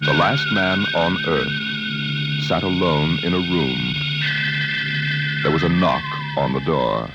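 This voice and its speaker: A man narrating a 1950s radio suspense show in an ominous, deliberate, theatrical manner with dramatic pauses. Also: deep voice. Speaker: announcer and narrator. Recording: muffled vintage 1950s radio recording. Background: dramatic music.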